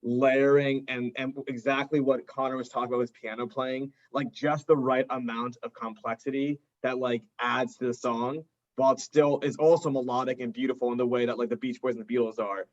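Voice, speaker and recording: A man's voice: medium pitch, flat delivery, a little fast. Guy in a band just chatting, jokey and rambly, says like a lot, audio a bit dull. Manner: joking, rambling, peppered with "like". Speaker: band drummer being interviewed. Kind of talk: casual interview chat.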